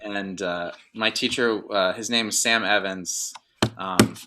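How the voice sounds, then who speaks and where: A man's voice: on the low side, fairly even intonation, slow pace, very noisy. A middle-aged man from Northern Virginia, a drummer guest in a casual interview, talking in a rambling way with many fillers and restarts.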